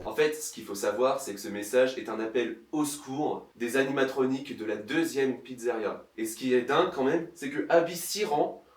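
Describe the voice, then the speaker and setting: A man's voice: medium pitch, moderate pace, hardly any pauses. A man narrating a sarcastic parody film review in a mocking, theatrical manner. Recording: roomy, distant mic, faint noise.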